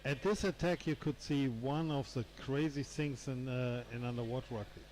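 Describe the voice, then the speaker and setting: A man whose first language is not English, adding color commentary to a live match, halting as he searches for words. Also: mid-range voice, a little mellow.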